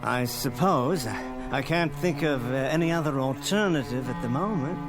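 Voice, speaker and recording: An actor playing a condescending, erudite scientist criminal in a radio drama, speaking in formal, precise and theatrical phrases. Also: male voice, mid-range voice, moderate pace, over music, lightly acted. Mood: wry. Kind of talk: dramatic radio play acting.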